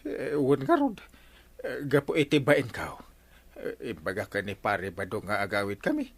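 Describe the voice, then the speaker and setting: A man's voice: on the low side, big pitch swings, moderate pace, breathy edge, heavily acted. An actor with an Ilocano accent playing a flustered village captain in a comedy radio drama, stammering and hesitant as he makes sheepish excuses.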